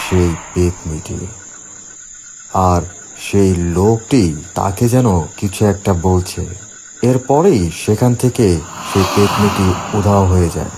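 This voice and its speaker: A man narrating a scripted horror story in a measured way, with dramatic pauses. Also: sits low, background noise.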